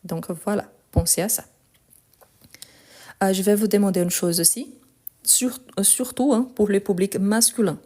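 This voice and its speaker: Casting: female language teacher, soft mellow tone, medium pitch, explains insistently and repeats for clarity. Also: fairly even intonation, normal speed, long pauses. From France and Brazil. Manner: didactic, repeats key points, insistent. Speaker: French teacher. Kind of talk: live online grammar lesson.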